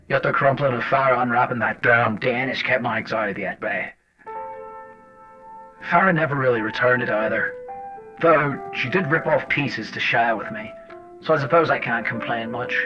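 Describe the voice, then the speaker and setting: A man's voice: medium pitch, pushed and bright, lightly acted. A man acting a sardonic, hot-tempered agent who tells his story in colloquial first-person drama narration. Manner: colloquial, wry, swearing. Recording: dull lo-fi cassette with music.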